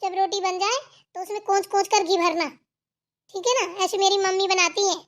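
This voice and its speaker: A woman's voice: very high voice, a bit bright. A woman voicing a spoiled, defiant young city daughter-in-law in a cartoon family drama, argumentative, whiny and entitled.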